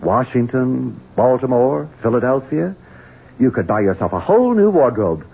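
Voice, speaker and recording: An actor playing a smooth-talking, devious Southern gentleman in a radio drama, coaxing with syrupy endearments. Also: mid-range voice, lively intonation, moderate pace. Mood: coaxing, ingratiating. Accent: Southern American. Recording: muffled, hissy old radio broadcast.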